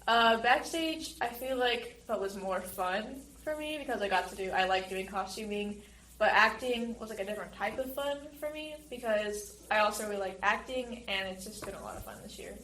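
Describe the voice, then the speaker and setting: A woman's voice: mid-range voice, moderate pace, fairly bright tone. A teenage girl giving casual answers as a student actress being interviewed. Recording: roomy echo, faint background noise.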